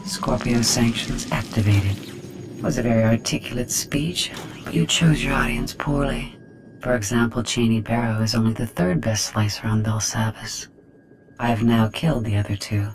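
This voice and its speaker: An actor playing a mysterious hostile intelligence in a video game, articulate, condescending and coolly menacing. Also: male voice, medium pitch, moderate pace, a little hiss or hum, close to an everyday voice, rough recording. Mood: cool menace. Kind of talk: video game villain acting.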